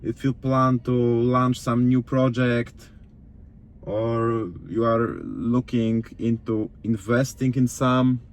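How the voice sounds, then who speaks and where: A man from Poland with a Polish accent, talking casually in halting, simple non-native English as a vlogger. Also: mid-range voice, steady tone, a little hiss or hum. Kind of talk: casual vlog talk.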